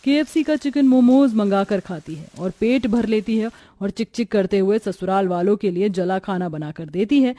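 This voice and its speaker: A woman's voice: medium pitch, moderate pace, hardly any pauses, warm tone, no echo. A woman storyteller delivering scripted narration for a moral tale.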